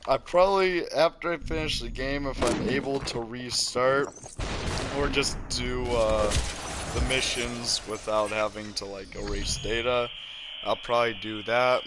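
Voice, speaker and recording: A teenage boy hosting a gaming channel, rambling and joking over his gameplay with loud sudden reactions and self-aware asides. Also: mid-range voice, steady tone, measured pace. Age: teens. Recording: clear background noise.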